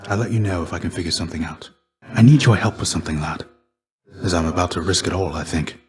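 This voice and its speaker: A deep male voice for a video game character, performed by an actor: a fallen Greek warrior, stoic and weary. He speaks low, in measured, formal phrases. There is a restrained, gentle gravity to him, like a fatherly mentor. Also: close to an everyday voice. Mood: pensive, contrite.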